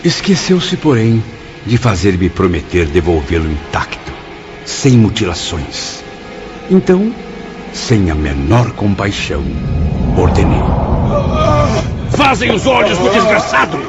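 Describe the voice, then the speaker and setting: A man's voice: on the low side, wildly swooping pitch, slow pace, long pauses, full character voice. A man playing a cruel, vengeful villain spirit in a radio drama, theatrical and sneering in delivery. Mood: merciless. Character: cruel, vengeful male villain spirit recounting his crimes. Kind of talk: radio drama acting and confession. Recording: dull audio under prominent music.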